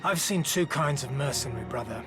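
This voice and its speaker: An actor playing a roguish, wry, gold-hungry mercenary in a fantasy game. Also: male voice, medium pitch, lively intonation, a little fast, music in the background, lightly acted. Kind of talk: video game cutscene acting.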